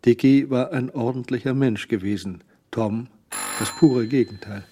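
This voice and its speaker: A man's voice: medium pitch, normal speed, warm tone, breathy edge, lightly acted. A man acting as the dry, observant narrator of a German crime radio drama. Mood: dry, ironic.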